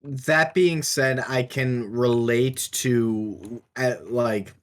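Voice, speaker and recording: A man's voice: mid-range voice, fairly even intonation, drawn-out words. A man co-hosting a podcast, talking in a casual discussion style. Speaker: podcast co-host.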